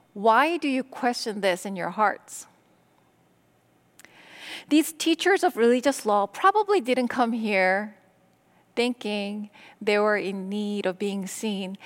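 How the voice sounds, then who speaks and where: A middle-aged woman pastor from Korea, whose first language is Korean, preaching a sermon in slow, deliberate phrases with pauses. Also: medium pitch.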